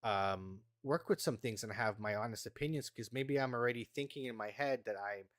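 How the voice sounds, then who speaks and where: Halting man in casual chat. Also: mid-range voice, no echo, studio quality. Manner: halting, many restarts and fillers. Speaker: podcast guest.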